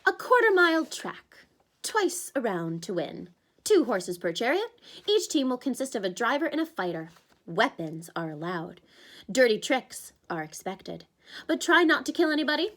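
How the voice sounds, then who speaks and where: A woman's voice: on the high side, sing-song, moderate pace, a bit bright, voice pushed up. A woman voicing a cruel old male overseer who announces the rules with mocking condescension. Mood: smug.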